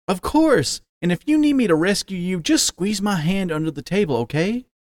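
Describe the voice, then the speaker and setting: A man voicing a gentle stallion boyfriend who speaks softly and reassuringly. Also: high voice, animated, normal speed, no room sound, clean studio sound, voice pushed up. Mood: tender. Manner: soft, reassuring.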